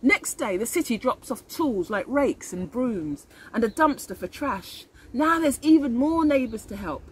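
A middle-aged woman reads a children's picture book aloud in an expressive teacher's manner. Her voice is fairly high and swoops with lively intonation as she addresses the children. She is recorded outdoors in a garden with faint background noise. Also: measured pace. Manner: animated, addressing children with questions. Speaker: early years teacher.

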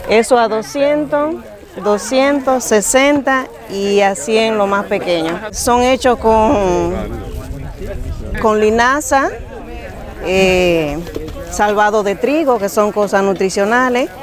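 A woman's voice: medium pitch, takes it slowly. A woman vendor casually presenting her baked goods at an outdoor market. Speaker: bakery vendor. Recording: outdoor market chatter behind.

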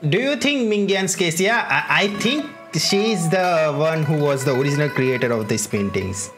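Streamer, unhurried offhand reactions to a game, animated pitch movement, music underneath. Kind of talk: casual game playthrough commentary.

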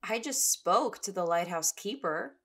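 A woman's voice: on the low side, animated, unhurried, fairly bright tone, heavily acted. An actress playing a wary, insistent woman explorer in an audio drama. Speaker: lead actor in audio drama.

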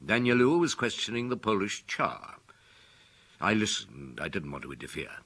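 A man's voice: fairly low pitch, big pitch swings, moderate pace, vocal fry, a bit bright, slightly rough, heavily acted. An actor playing a reflective detective narrating his case in the first person in a radio drama.

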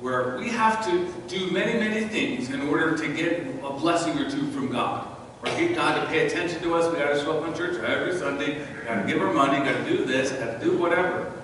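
High-voiced pastor preaching in echoey church. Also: male voice, a little fast, recorded from a distance, background noise. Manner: animated, sweeping arm gestures while walking. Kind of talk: sermon. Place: church sanctuary in front of the altar. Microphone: far camera in the church.

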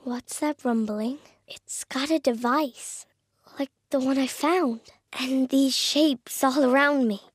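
A woman's voice: high-pitched, slow pace, no echo, studio quality. An actress voicing a curious, brave young outcast girl in a video game, asking questions and often whispering as she sneaks.

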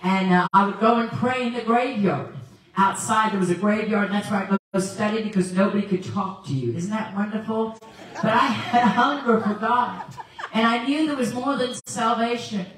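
A woman's voice: medium pitch, normal speed. A woman in her sixties from South Africa, a guest evangelist preaching with a handheld mic and telling stories with laughter. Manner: animated storytelling with laughs. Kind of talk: preaching sermon. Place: large church sanctuary, indoors. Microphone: handheld mic, filmed by far camera. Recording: very echoey hall from far off.

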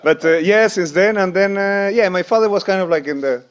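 A man's voice: high-pitched, fairly quick, dull audio. Hesitant man from Chile. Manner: hesitant, many fillers and restarts. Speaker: band member. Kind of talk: casual interview answers. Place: festival backstage room, indoors.

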